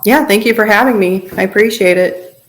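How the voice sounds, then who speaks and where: A woman guest telling her personal story informally in an interview, in halting, pieced-together phrases. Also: mid-range voice, low-quality recording. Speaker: podcast guest sharing personal testimony.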